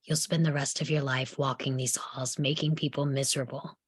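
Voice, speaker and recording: A woman's voice: a bass voice, level tone, normal speed, husky. A woman playing a defiant, hurt young psychiatric patient in a live script reading. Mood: cutting. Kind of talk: live table read of a film script.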